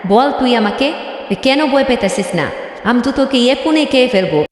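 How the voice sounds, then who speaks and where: A man's voice: very high voice, expressive, normal speed, voice pushed up. A man voicing a fearsome man-eating female witch in a cartoon fairy tale, boastful and threatening, with an echoing effect on the voice. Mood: menacing. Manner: boastful, threatening, repetitive questioning. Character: fearsome man-eating female witch of the jungle, menacing but soft-hearted. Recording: echoey with long hall reverb.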